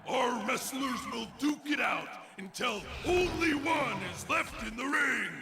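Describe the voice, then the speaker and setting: A man playing a bombastic wrestling ring announcer in an animated video game, loud and showy as he rallies the crowd. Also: extremely high pitch, a little slow, creaky, background noise, heavily acted. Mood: hyped. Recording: music behind shouted announcing. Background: game music.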